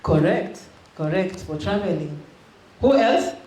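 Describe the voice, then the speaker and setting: A woman's voice: fairly low pitch, expressive, a little slow, dark tone. A middle-aged woman from Western Kenya with a Kenyan accent, a youth minister leading an interactive teaching and quizzing her audience. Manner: engaging, quizzes the audience, mixes in Swahili. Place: church hall. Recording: echoey hall with faint noise.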